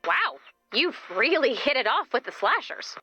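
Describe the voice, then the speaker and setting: Bubbly, very high-voiced woman camp director. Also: very animated, normal speed, sharp tone, no room sound, lo-fi sound, soft background music, full character voice. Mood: earnest. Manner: perky, emphatic, announcing. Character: bubbly, bossy young female summer camp director. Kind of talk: video game character acting.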